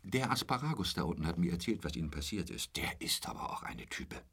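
Pushy roommate, garrulous, self-pitying braggart, very swooping intonation, husky, radio play. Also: male voice, sits low, big pitch swings, fairly quick, fairly bright tone, heavily acted. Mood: chatty, boastful. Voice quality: husky, dropping into whispers. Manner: garrulous, pestering, repeats pet phrases. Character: talkative, intrusive, lecherous male hotel roommate, self-pitying braggart. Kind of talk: radio drama acting.

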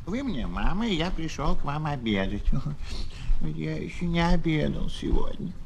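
A man's voice: on the high side, sing-song, normal speed, vocal fry. An actor playing a naive, stubborn, trusting little donkey boy with an insistent manner, in a children's audio play. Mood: naive, hopeful. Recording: noisy old flexi-disc record.

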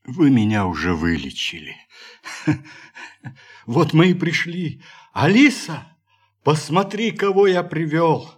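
An actor playing a frail, sentimental ageing settler on Mars in a radio play, with halting, sighing, emotional speech. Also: male voice, fairly high pitch, very animated, slightly rough, exaggerated voice. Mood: glad, eager. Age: older. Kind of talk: Russian radio play acting. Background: music.

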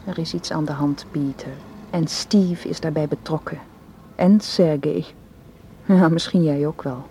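Anxious, defensive woman for radio drama; low, soft-toned, hesitant delivery, faint noise behind. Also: steady tone, measured pace. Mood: suspicious. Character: anxious, guarded woman distrustful of her male suitor. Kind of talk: Dutch radio play acting.

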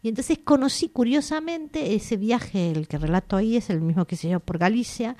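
A woman author from Argentina, talking in a relaxed interview in a thoughtful, digressive way, often pausing to search for words. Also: fairly high pitch.

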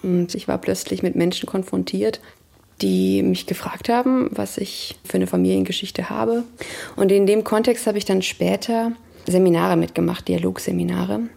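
Thoughtful woman with heavily creaky voice. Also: medium pitch, fairly even intonation, normal speed, warm tone. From Germany. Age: 30s. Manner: thoughtful, searching for words. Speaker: interviewee. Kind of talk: reflective personal account in an interview.

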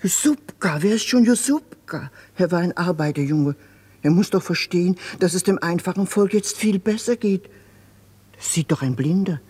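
An actress playing an anxious, outspoken working-class mother with a husky voice in a 1950s German radio drama. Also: on the low side, expressive, moderate pace, dark tone. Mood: agitated, bitter.

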